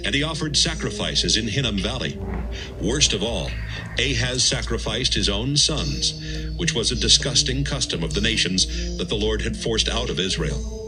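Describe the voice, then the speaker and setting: A man reads a dramatised Bible narrative in a fairly low voice. His storytelling is solemn and measured, with lively rises and falls, and music runs clearly behind him.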